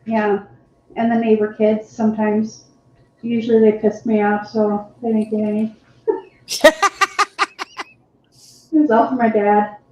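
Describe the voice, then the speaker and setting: A woman's voice: fairly high pitch, flat delivery, unhurried, long pauses, slight background noise. A woman co-hosting a live painting stream, chatting in a rambling way and laughing often. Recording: very echoey, dull lo-fi sound.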